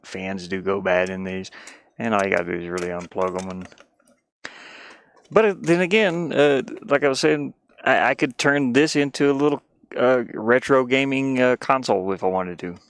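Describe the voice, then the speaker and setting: A man's voice: medium pitch, moderate pace, clean tone, dry recording. A man live streaming with casual, rambling chat in a scattered, self-deprecating manner. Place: inside a small cluttered van.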